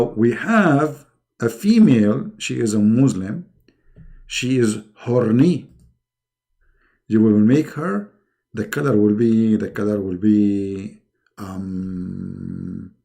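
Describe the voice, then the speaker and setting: Non-native english guy on a stream, gravelly low-key voice, sarcastic, keeps repeating himself. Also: slow, long pauses, mellow. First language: not English. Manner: sarcastic, mocking, repeats words for emphasis. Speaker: streamer hosting a religious debate. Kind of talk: live-stream commentary.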